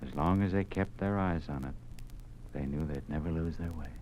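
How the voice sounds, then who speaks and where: Very low male voice, troubled caring dad, American, understated, faintly noisy old radio recording. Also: darkish tone. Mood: subdued, thoughtful. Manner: measured, understated. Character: sober, caring, quietly troubled father of a young boy. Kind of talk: 1950s radio drama acting.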